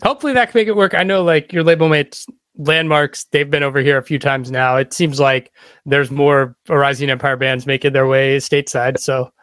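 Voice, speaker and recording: A man's voice: mid-range voice, fairly even intonation, moderate pace, fairly bright tone, no room sound. A man hosting a podcast, asking questions in a casual, loosely phrased way. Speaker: podcast host. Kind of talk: casual interview chat.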